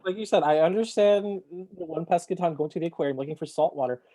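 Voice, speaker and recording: A man on a book club podcast panel, talking casually in short, halting bursts. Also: a little high, dark tone, no room sound.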